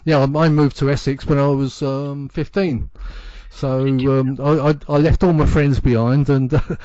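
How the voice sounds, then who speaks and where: A man's voice: medium pitch, flat delivery, moderate pace, mellow, no echo, dull audio. A man from London hosting a radio show, chatting casually and chiming in often. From London, England. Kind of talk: casual chat.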